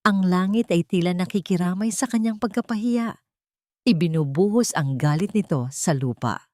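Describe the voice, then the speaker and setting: A Filipino woman narrates a dramatic story from a script. Her voice sits slightly low for a woman, and the sound is dry and close, with little room in it. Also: animated, normal speed, a little mellow, no room sound.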